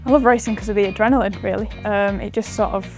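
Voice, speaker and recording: Young woman driver, fast and monotone, upbeat. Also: medium pitch, soft background music. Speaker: racing driver. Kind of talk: interview soundbites.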